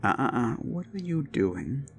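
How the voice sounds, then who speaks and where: A man voicing an unhinged, possessive young prince who speaks with soft-spoken menace and slips into whispers, over faint dark music. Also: mid-range voice, big pitch swings, unhurried. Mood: commanding. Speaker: voice actor. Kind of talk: audio roleplay drama.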